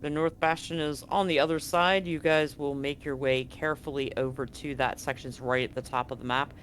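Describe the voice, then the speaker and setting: A man's voice: fairly high pitch, steady tone, a little fast, fairly bright tone, a little hiss or hum. A man running a tabletop horror game as game master, narrating loosely and correcting himself as he goes. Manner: loose, conversational, self-correcting.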